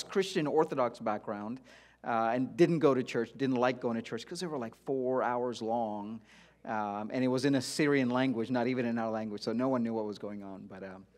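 A middle-aged man from India who grew up in Dubai, giving an informal sermon as a guest preacher in a conversational, halting way. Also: mid-range voice. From India-born, grew up in Dubai. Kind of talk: informal sermon, personal testimony. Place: church auditorium stage, before a congregation.

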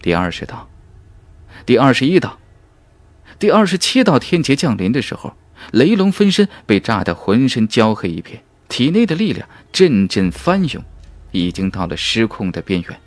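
A man reading a fantasy novel as an audiobook narrator, in a dramatic, measured and emphatic storytelling manner. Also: mid-range voice, sing-song, no echo.

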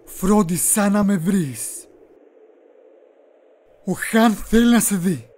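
A man's voice: high voice, expressive, darkish tone. A man playing a conflicted turncoat warrior, guarded yet appealing to a friend, in an amateur game dub.

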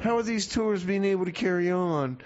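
An exasperated jailbird radio host acted by a man, fairly high in voice, halting and insistent as he repeats himself. It is comedy radio theater, muffled and lo-fi, with clear noise in the background. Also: close to an everyday voice. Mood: exasperated, pressing. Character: exasperated jailed radio host pressing his friend.

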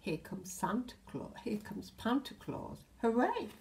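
A British woman in her sixties reads a children's picture book aloud, expressively, with gentle asides addressed to the children. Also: on the high side, big pitch swings, measured pace, creaky. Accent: British English. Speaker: storyteller, infant school teacher. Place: indoors in a home hallway.

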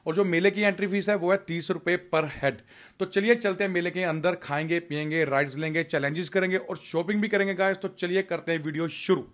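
A man's voice: sits high, steady tone, a little fast, a bit bright. A young man presenting a vlog in a casual style, addressing viewers as he goes. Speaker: vlogger.

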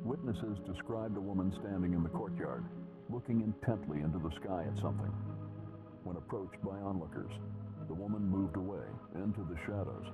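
A man playing a grave narrator of a spooky legends documentary, speaking in a measured, ominous, scripted way. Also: deep voice, close to an everyday voice. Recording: dull lo-fi TV audio, loud music.

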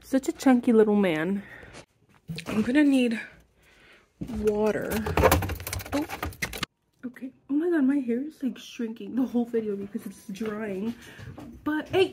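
A sick young woman in her twenties, hoarse and congested, chatting casually to a close camera at home, cursing and coughing now and then. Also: fairly high pitch, dark tone, a little hiss or hum. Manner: chatty, swearing, coughs between lines. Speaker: vlogger. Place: living room at home. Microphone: camera close to face.

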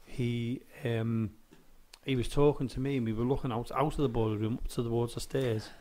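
A man's voice: medium pitch, steady tone, moderate pace, dark tone, slight background noise. A man co-hosting a paranormal radio show, rambling with many restarts while getting over a chest infection. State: recovering from chest infection, coughing. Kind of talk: casual studio chat. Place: radio studio.

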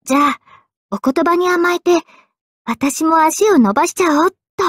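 Anime game role: regal young female sword spirit, high-pitched, gentle, measured and polite, very dry studio sound. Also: no echo. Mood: soft, playful. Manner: poised, polite, measured delivery. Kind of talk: Japanese anime game voice acting. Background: faint background music.

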